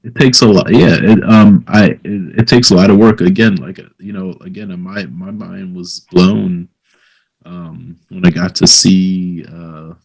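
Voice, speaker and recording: A man's voice: sits low, fairly even intonation, a little slow, creaky, crowd noise, poor audio. A man hosting a casual podcast interview, talking in a hesitant, rambling way and repeating phrases as he builds a thought.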